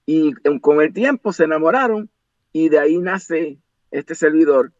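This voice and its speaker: An older man in his sixties, a native English speaker, recounts his early years in a measured, reflective way, his voice sitting a touch high for a man. The audio is dull and lo-fi, with a very dry sound and no room around it. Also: no room sound. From United States. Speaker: pastor and guest. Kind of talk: interview, personal storytelling.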